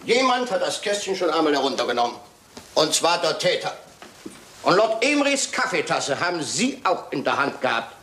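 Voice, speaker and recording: An actor playing a shrewd, self-assured detective in a German radio crime drama, with a sly, theatrical manner. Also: male voice, on the high side, sing-song, normal speed, pushed and bright, slight background noise. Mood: probing, accusing. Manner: theatrical, sly, teasing, with occasional French phrases.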